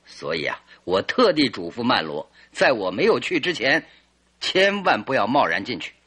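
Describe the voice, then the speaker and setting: Confident male detective, self-satisfied, emphatic, very animated pitch, husky, radio play. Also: moderate pace, exaggerated voice. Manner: theatrical, emphatic, self-assured. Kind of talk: radio drama acting.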